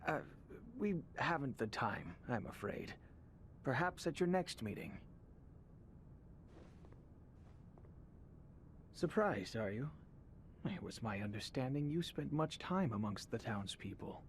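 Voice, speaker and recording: An actor playing a courteous, formal young crown prince in a video game, speaking in stately, polite phrases. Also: male voice, mid-range voice, lively intonation, unhurried, a little hiss or hum. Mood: gracious. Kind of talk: video game cutscene acting. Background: music.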